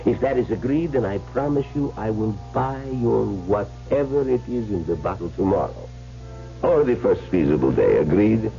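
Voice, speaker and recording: An actor playing a wealthy, commanding occult enthusiast in a theatrical, formal 1970s radio drama style. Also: male voice, on the low side, animated, vocal fry, warm tone. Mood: suave, possessive. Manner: theatrical, formal, deliberate phrasing. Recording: dull old radio broadcast with music.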